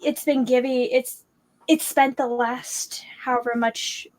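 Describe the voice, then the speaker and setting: Slow-paced, higher-voiced woman chatting. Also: steady tone, low-quality recording. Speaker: book discussion panelist. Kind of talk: casual book discussion chat.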